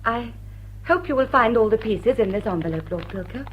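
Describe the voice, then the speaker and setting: An actress playing a witty woman thief among English high society in a radio drama, coolly polite and mock-innocent. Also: expressive, normal speed, highs cut off, a little hiss or hum, natural voice. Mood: composed, teasing. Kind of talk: classic radio drama acting.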